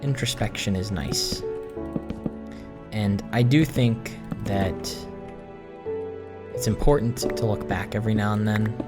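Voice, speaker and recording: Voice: mid-range voice, fairly even intonation, takes it slowly, pauses often, loud music behind the voice. A gaming video host giving a casual, introspective monologue, rambling and reflective, often circling back to restate a point.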